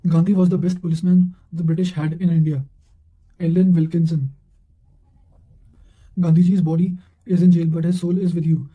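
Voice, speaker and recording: A man reading study notes and quotations aloud in a measured way, occasionally stumbling over a word. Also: fairly high pitch, flat delivery, lo-fi sound. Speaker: narrator of study revision notes.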